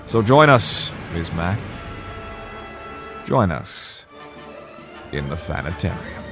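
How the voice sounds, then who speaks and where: A man's voice: sits low, very animated, takes it slowly, music in the background. A man reading a scripted introduction as a podcast announcer, in florid, theatrical storyteller narration.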